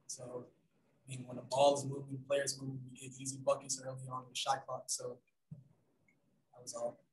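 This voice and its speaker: A young man answering post-game press questions in a soft, hesitant way with many pauses and ums, over a video call. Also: medium pitch, completely flat delivery. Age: young adult. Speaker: basketball player at press conference. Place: room in front of team backdrop. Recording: roomy video call audio.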